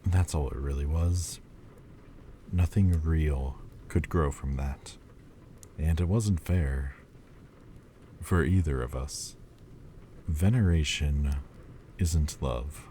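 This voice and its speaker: A brooding ancient demon lord, as a man acts him out speaks in an extremely low, mellow voice. He talks slowly and haltingly in a roleplay monologue. A faint hum of ambience sits behind him. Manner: slow, quiet, halting confession. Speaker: voice actor.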